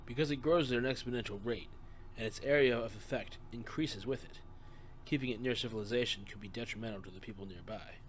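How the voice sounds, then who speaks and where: A man playing a calm, earnest young husband in an audio drama, with measured, serious delivery. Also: mid-range voice, close to an everyday voice. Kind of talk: audio drama acting and narration.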